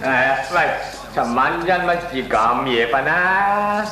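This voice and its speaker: An actor playing a blustering but reluctant neighbour in a radio comedy, rambling and exclaiming in colloquial Hong Kong Cantonese. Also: male voice, mid-range voice, expressive, slow, bright, forceful tone, roomy sound. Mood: grumbling, animated. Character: blustering, reluctant male neighbour, all talk.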